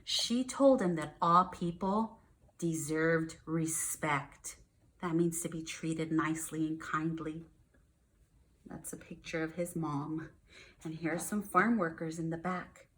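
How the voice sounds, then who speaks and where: A woman's voice: low-pitched, fairly even intonation, slow pace, fairly bright tone. A woman teaching first graders, reading aloud and explaining things in simple words with emphasis on key words. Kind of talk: read-aloud lesson for young children.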